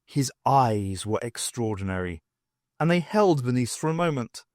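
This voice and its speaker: A man narrating a scripted fiction audiobook with expressive storytelling. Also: mid-range voice, normal speed, dry recording, clean studio sound.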